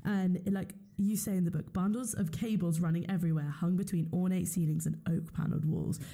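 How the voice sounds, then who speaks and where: Female podcast host, dark mellow tone, slightly low register, chatty and keenly interested. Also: fairly even intonation, fairly quick, gravelly. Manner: enthusiastic, appreciative. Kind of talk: conversational interview.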